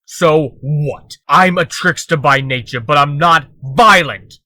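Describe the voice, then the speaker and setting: A man's voice: a little high, takes it slowly, sharp tone, no room sound, studio quality, exaggerated voice. A man playing a cocky, defensive trickster under questioning, glib and self-justifying, in a comic dub. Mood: cocky, indignant. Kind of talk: comic dub dramatic acting.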